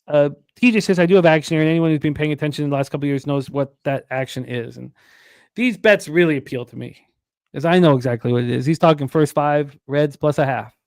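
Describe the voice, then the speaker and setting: A man hosting a sports betting talk show, running through odds, weather and pitcher stats with plenty of fillers. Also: quick pace, no room sound, clean studio sound. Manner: reads out odds and stats in a steady run, with fillers.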